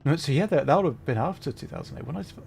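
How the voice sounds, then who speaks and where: A man's voice: fairly high pitch, expressive, gravelly, a little mellow. Chatty man streaming a game over faint music. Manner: chatty, halting, thinking aloud. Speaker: livestream host playing a video game. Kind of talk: casual gameplay commentary.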